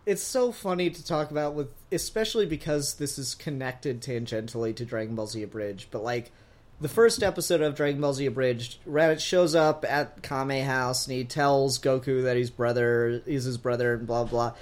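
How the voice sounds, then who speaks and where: A man's voice: mid-range voice, normal speed. Enthusiastic, sweary man chatting casually. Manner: enthusiastic, profane. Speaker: podcast co-host. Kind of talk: casual review chat.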